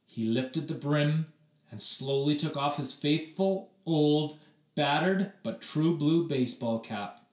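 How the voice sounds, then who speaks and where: A man reading a children's story aloud expressively, with dramatic, deliberate pauses and emphasis. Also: on the high side, recorded from a distance. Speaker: storybook reader. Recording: echoey room, away from the mic.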